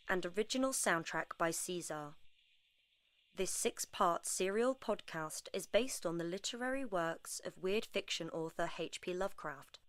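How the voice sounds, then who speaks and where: A woman's voice: on the low side, no room sound. A woman reading scripted podcast credits and introduction as the announcer.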